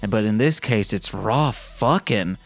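A man's voice: on the low side, lively intonation, slow, soft background music. A man hosting a podcast, reading fan fiction aloud in an irreverent way and breaking off into casual, joking asides to listeners. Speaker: podcast host.

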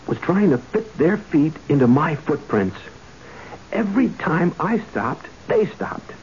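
An actor playing a shaken, haunted husband with a drinking habit in a radio drama. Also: male voice, on the high side, a little mellow. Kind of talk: 1970s radio drama acting. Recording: dull old radio recording, faint hiss.

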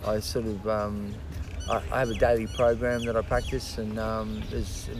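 A man chatting as a podcast guest, halting and full of restarts and fillers, swearing casually. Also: fairly low pitch, flat delivery, background noise. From Australia. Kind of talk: casual interview chat.

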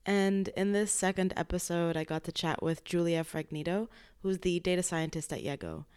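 A woman hosting a podcast, introducing the episode and interviewing her guest in a friendly, relaxed way. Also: on the low side, normal speed, smooth. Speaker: podcast host.

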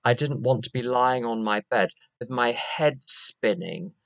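An older man with a London accent speaks at a recovery meeting in a measured, reflective and quietly wry way. His voice sits in an ordinary middle register. The sound is dry, with almost no echo from the room. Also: medium pitch, creaky. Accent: London English. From England. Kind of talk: personal share at a recovery meeting.

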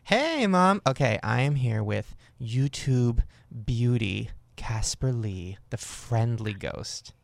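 Brash young man with a gravelly edge. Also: mid-range voice, animated, takes it slowly, few pauses, no room sound. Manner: brash, crude and playful. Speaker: host. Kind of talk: casual podcast chat and ad read.

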